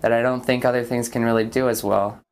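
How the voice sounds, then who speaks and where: A young man with an average-pitched voice chats casually in an interview. He talks as one member of a theatre group. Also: little pitch movement, quick pace, slight background noise.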